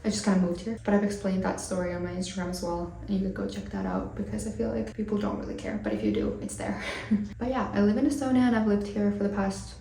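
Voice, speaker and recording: A woman's voice: medium pitch, animated, fast, warm tone, reverberant, recorded from a distance, slight background noise, rough recording. A woman in her twenties from Finland, chatting casually and rambling with fillers while doing her makeup for a vlog. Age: 20s. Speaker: vlogger. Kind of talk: chatty get-ready-with-me vlog.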